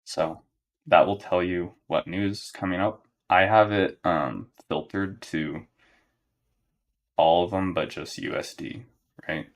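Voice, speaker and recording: A young man giving live trading commentary to his chat in a relaxed, monotone way, thinking aloud and often checking in with a "right?". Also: takes it slowly. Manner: monotone, relaxed, low-key. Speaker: day trader streaming live.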